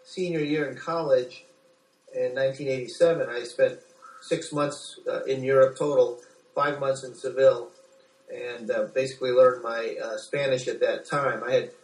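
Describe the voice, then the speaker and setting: American man, halting speech, echoey and distant. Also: medium pitch, steady tone. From United States. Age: 40s. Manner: halting, hesitant, repeats words. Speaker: doctor being interviewed. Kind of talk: informal interview answers. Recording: echoey room, distant from microphone.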